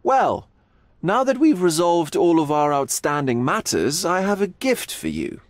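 An actor playing a languid, flamboyant art lover in a video game, speaking with arch theatrical drawl. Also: male voice, on the high side, sing-song, measured pace, no echo. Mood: bored politeness. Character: droll, languid, flamboyant male art aesthete. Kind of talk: video game cutscene acting.

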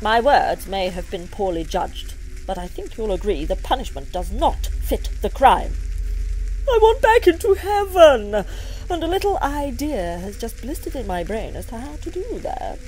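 A woman's voice: on the high side, big pitch swings, normal speed, a little mellow, a little hiss or hum, low-quality recording. A woman playing a vain, scheming devil master with a pompous, theatrical manner in a comic audio drama. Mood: indignant, scheming.

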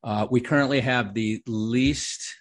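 A middle-aged man hosts a podcast with easy, upbeat enthusiasm, chatting freely and quick to agree. His voice has a husky edge and sits at an ordinary pitch. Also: steady tone. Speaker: podcast host. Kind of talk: casual conversational interview.

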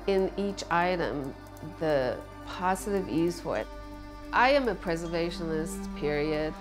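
Woman artist from Taiwan, documentary interview. Unhurried, reflective delivery in a low register. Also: low-quality recording. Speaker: visual artist. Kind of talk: reflective documentary interview about own work.

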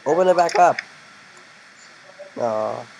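A man's voice: fairly high pitch, animated, slow, gravelly, darkish tone, slight background noise, rough recording. A teenage male gamer hosting, chatting to viewers while playing. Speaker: game channel host. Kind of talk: casual gaming commentary.

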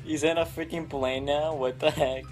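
A young man playing along with a friend, reacting with slow, incredulous, laughing comments. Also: fairly high pitch, steady tone. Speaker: co-player. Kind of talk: casual gameplay banter. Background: game music.

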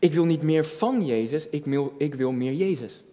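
Mellow young male preacher in a reverberant hall. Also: fairly high pitch, normal speed. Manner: earnest, repeats phrases for emphasis, rhetorical questions. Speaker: junior pastor. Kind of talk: sermon, personal and reflective. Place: indoor church stage at a lectern, filmed from a distance.

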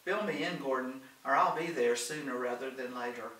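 A man reading the part of a forceful, anxious woman in a storybook, loud and insistent. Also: medium pitch, moderate pace, echoey room, recorded from a distance, natural voice, low-quality recording. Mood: exasperated.

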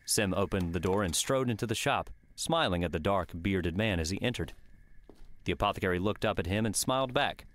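A man's voice: deep voice, animated, brisk, fairly bright tone. A man reading scripted audiobook narration of a story.

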